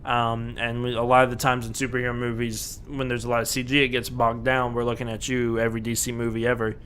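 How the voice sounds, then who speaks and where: Bright-voiced man chatting on a podcast. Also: medium pitch, monotone, fast, slight background noise. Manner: rambling, many fillers and restarts, laughs often. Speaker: podcast co-host. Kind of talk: casual podcast chat.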